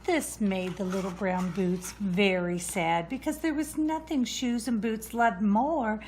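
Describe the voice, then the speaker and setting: Slow-paced woman reading a children's story. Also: medium pitch, a little mellow. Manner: slow, deliberate narration. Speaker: storybook reader. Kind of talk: children's story read-aloud.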